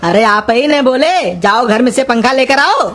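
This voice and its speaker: Young male cartoon simpleton, played by a woman: high, querulous, naive. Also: expressive, normal speed, over music, close to an everyday voice. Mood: whiny complaining. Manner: whiny, naive, loudly querulous. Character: silly, half-deaf, complaining young male village helper. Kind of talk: cartoon comedy dialogue in rustic Hindi.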